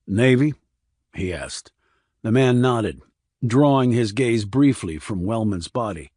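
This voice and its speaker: A man narrating a crime thriller audiobook in a measured, serious, scripted reading. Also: on the low side, expressive, a little mellow, no room sound, studio quality.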